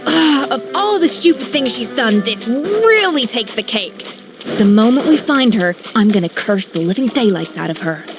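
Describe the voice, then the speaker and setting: A woman's voice: fairly high pitch, animated, normal speed. An actress voicing a blunt, easily exasperated adult woman in a video game, who sighs and sounds irritated. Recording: game audio with faint music underneath.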